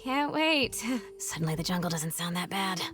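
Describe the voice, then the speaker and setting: A woman playing a plucky, upbeat young adventurer in a comedic animated game, with a bubbly manner. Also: lowish voice, moderate pace. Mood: eager. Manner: bubbly, exclamatory. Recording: music behind the voice.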